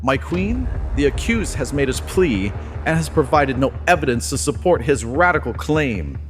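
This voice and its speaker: A man's voice: medium pitch, sing-song, normal speed, natural voice. A man voicing a stern insect royal guard who prosecutes formally and accusingly in an audio story. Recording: loud music bed underneath.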